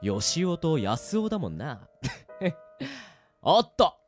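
A man playing a cocky, ruthless young game player in an audio drama, brash and sneering with rough speech. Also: fairly high pitch, very animated, takes it slowly, soft background music.

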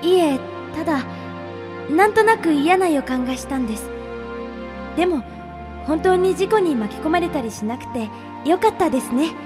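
A woman playing a polite, gentle young female pen pal reading her letters in a radio drama. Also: high-pitched, animated, moderate pace, pauses often. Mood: gentle, relieved. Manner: polite, demure. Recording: clear music bed under the voice.

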